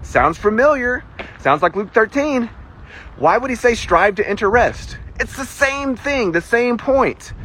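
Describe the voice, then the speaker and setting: A man's voice: very high-pitched, lively intonation, a little slow, a bit bright, slight background noise. A man with a Southern American accent teaching the Bible conversationally, with emphatic delivery and rhetorical questions.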